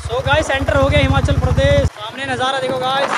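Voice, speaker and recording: A man's voice: very high voice, level tone, a little fast. A man with a North Indian accent narrating a casual travel vlog outdoors, talking to viewers in a colloquial, exclamatory way. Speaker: vlogger. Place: outdoors, much of it on a moving motorbike. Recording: noisy outdoor action camera, vehicle and street noise.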